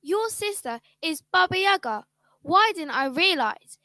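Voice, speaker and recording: A protective father speaks in a high, bright, sharp voice. He sounds concerned and indignant. The sound is dry and close. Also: lively intonation, unhurried, no echo, full character voice. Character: caring father, protective and quick to anger. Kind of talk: children's radio drama.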